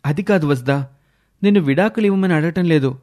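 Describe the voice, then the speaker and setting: A man acting a hesitant, meek young husband in a story, speaking haltingly. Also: a little high, darkish tone, voice pushed up, close to an everyday voice. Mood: pleading, evasive.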